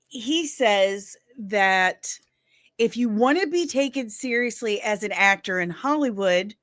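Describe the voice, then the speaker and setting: A woman's voice: medium pitch, expressive, takes it slowly, fairly bright tone, no room sound. Laughing Midwestern woman with a dry close sound. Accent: St. Louis Midwestern. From St. Louis, Missouri. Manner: laughing, sarcastic, exclamatory. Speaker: host of a daily pop-culture news show. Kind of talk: casual commentary over played clips.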